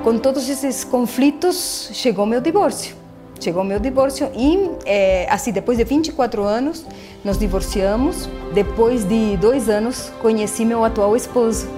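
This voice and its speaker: A middle-aged woman from Argentina with an Argentine Spanish accent, whose native language is Spanish, telling her personal faith story in an earnest, halting way with restarts and repeated words. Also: on the high side. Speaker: church member giving testimony. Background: soft background music.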